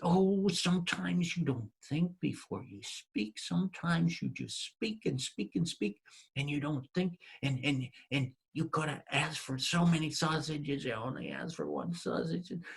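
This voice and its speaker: Man playing a peasant wife, nagging, exasperated, repetitive, gravelly, husky. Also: a little high, sing-song, measured pace, pitched above the performer's own voice, heavily acted. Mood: scolding. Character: scolding grumbling peasant wife. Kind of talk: storytelling character voice.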